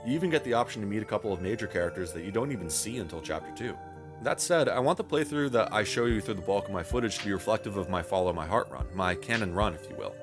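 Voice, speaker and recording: A man's voice: fairly low pitch, lively intonation, fast talker, over music. A man narrating a scripted but conversational video game review in a wry, self-deprecating way, with wordy phrasing and casual swearing.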